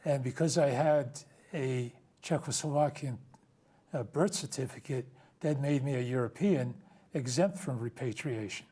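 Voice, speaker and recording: An elderly man in his eighties, a native Ukrainian speaker, tells his story as an interview guest. His voice is mellow and husky, and he rambles, often stopping to restart or correct himself. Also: mid-range voice, a little slow. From United States. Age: 80s. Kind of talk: casual interview.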